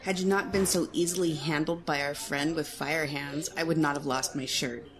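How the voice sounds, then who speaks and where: A man voicing a young, compassionate but firm and bossy prince with formal, measured phrasing in an audiobook story. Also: on the high side, steady tone, a bit bright, soft background music, pitched above the performer's own voice, lightly acted. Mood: stern. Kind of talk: audiobook character dialogue.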